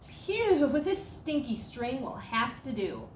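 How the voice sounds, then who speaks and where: A woman's voice: sits high, very animated, slow, far from the mic, full character voice. A woman voicing a gloomy male pessimist from a children's storybook, mumbling and grumbling. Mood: exasperated. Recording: roomy echo, clear background noise.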